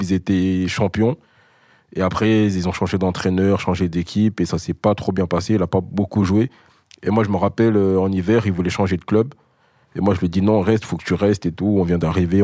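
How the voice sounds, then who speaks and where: A man's voice: sits low, mellow, no echo, clean studio sound. A young man from Congo, a rapper, answering interview questions in a laid-back, rambling way. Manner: laid-back, filler-heavy. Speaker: rapper guest.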